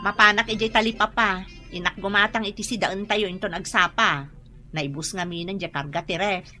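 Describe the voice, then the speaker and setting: A woman's voice: mid-range voice, fairly quick, faint music behind, lightly acted. A woman playing a defensive, exasperated younger wife in a melodramatic radio drama. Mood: evasive, casual. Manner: melodramatic, expressive.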